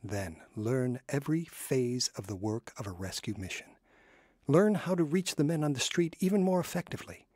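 An actor playing an encouraging pastor in a radio drama, giving steady advice. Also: male voice, mid-range voice, normal speed, husky, no room sound, studio quality. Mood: advising. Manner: steady, advising. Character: wise, encouraging male pastor.

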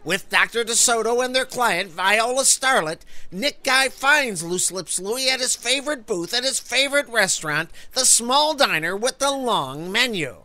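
A man's voice: extremely high pitch, lively intonation, normal speed, few pauses, pushed and bright, dry recording. A man narrating a scripted adventure serial as announcer, in a theatrical, grandiose manner. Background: music.

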